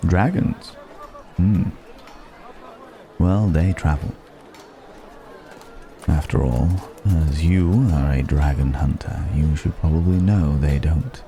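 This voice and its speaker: A man's voice: rumbling low, warm tone, exaggerated voice. A man playing a wry, curious ancient dragon passing as a stranger, musing in formal, archaic phrasing in an ASMR roleplay. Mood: grave, guarded. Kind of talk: ASMR roleplay audio drama.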